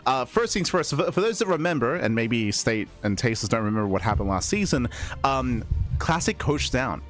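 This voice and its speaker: A man's voice: medium pitch, sing-song, moderate pace, fairly bright tone, faint music behind. A man hosting a casual esports stream, rambling through recap and schedule talk with many fillers while his voice is still recovering from strain. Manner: chatty, rambling, frequent fillers and restarts. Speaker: esports caster and stream host. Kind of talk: casual rebroadcast commentary.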